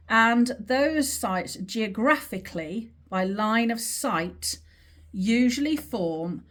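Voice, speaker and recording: A woman teaching in an informal, explanatory way, with measured, emphatic phrasing and pauses as she talks through a drawing. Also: medium pitch. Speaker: teacher of earth energy dowsing.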